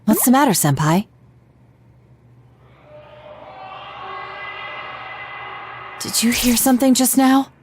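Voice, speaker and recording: A woman's voice: fairly high pitch, lively intonation, fairly quick, a little mellow, slight background noise, lightly acted. A woman voicing a polite, serious, analytical young woman with an American accent in an anime-style game, formal and articulate. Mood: uneasy. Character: composed, analytical young female detective type, polite and serious.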